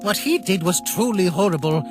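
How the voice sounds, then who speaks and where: Casting: male actor as kind-hearted naive skeleton brother for a comic dub, halting, heartfelt delivery, music underneath. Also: high voice, expressive. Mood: gently pleading. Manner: earnest, halting, softly pleading. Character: earnest, gentle, naive male skeleton, caring younger brother. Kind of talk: dramatic comic dub acting. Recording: voice over background music.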